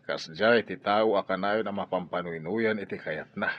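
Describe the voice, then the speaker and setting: A man's voice: sits low, moderate pace. A man with an Ilocano accent playing a stern, exasperated father who scolds and sighs in a radio drama. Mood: worried, exasperated. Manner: scolding, sighing. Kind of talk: Filipino radio drama acting. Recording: dull lo-fi radio audio over music.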